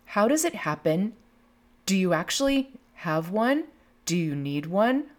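A woman's voice: medium pitch, very animated, a little slow. A woman in her fifties hosting a podcast, speaking reflectively and reading quotations aloud from a script. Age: 50s. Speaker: podcast host.

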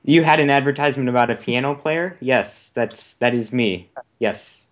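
A man making a prank phone call, halting and hesitant. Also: medium pitch, slight background noise. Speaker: prank caller.

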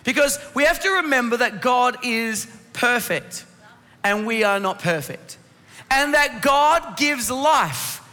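Male preacher, laryngitis-strained but bright and forceful, addressing a congregation in a long-reverb hall. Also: very high-pitched, expressive, slow. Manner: rhetorical questions, instructive, addressing the congregation directly. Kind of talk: Christmas sermon to a congregation. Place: church hall.

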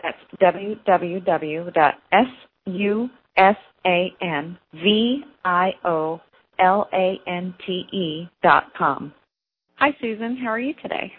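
A woman's voice: on the low side, takes it slowly, husky. A woman hosting a show, reading a prepared introduction and asking friendly questions over the phone. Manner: reads a scripted introduction, then friendly questions. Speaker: host. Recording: tinny phone line.

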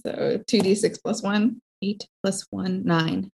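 A woman takes part in a tabletop role-playing game as a player. Her voice sits at an average pitch, and she talks in a casual, conversational way. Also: steady tone, slow, creaky, a little mellow, slight background noise.

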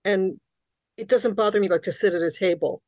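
A woman's voice: mid-range voice, fairly quick, no room sound. Woman in her sixties, dry close voice. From New York City, Queens. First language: Hungarian. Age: 60s. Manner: animated, repeats words when starting thoughts. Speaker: guest author. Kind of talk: conversational podcast interview.

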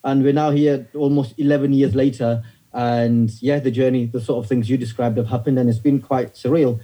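A comedian in his thirties with a northern English accent, telling stories in a relaxed interview with wry, self-deprecating humor. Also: mid-range voice, a little fast. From north of England. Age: 30s. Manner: wry storyteller, self-deprecating, many fillers. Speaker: stand-up comedian guest. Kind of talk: casual interview chat.

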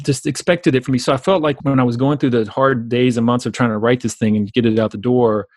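Southern US male coach and speaker, very quick, emphatic interview delivery, close dry sound. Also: medium pitch, fairly even intonation, a little mellow, no room sound. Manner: emphatic, repeats phrases for stress. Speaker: business coach, speaker and author being interviewed. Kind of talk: conversational interview, storytelling.

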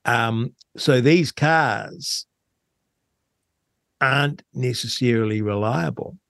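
A man with a New Zealand accent talking in a casual radio discussion as host, with a hesitant, halting delivery. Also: medium pitch, creaky, fairly bright tone, dry recording, clean studio sound. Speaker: talk show host.

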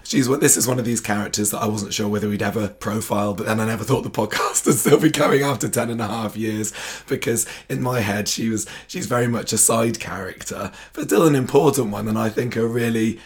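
A man co-hosting a podcast, hoarse from a sore throat, chatty and prone to interrupting. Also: lowish voice, brisk. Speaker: podcast co-host. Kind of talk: casual chat.

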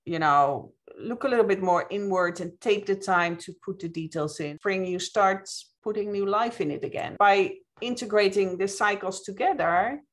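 A woman's voice: medium pitch, moderate pace, hardly any pauses. A woman from the Netherlands with a Dutch accent, speaking reflectively and explaining things as a guest in a conversational interview. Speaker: podcast guest, witchcraft author.

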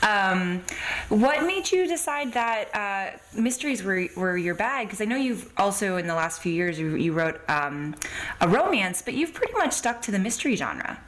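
A woman's voice: medium pitch, very animated, moderate pace, fairly bright tone. A woman hosting a podcast interview in a casual, informal and affirming way. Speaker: podcast host.

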